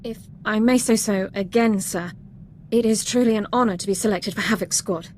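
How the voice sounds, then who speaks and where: A woman's voice: mid-range voice, moderate pace, a little mellow, slight background noise, natural voice. Woman playing an eager by-the-book sergeant. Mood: formal. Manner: formal, precise, by-the-book. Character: eager, rule-bound young female sergeant. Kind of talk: video game cutscene acting.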